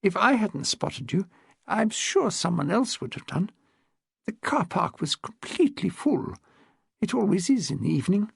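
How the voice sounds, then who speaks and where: A man voicing a frail, refined old woman over seventy who talks in a gently fussing, well-bred way. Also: sits high, sing-song, normal speed, gravelly, slightly rough, dry recording, studio quality, voice pushed up, exaggerated voice. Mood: chatty.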